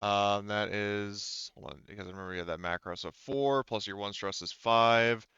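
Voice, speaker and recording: Low-voiced, smooth man running a game. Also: normal speed, sharp tone, no echo, dull audio. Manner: hesitant, rambling, with many filler words. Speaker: game master. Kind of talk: improvised narration of a tabletop roleplaying game.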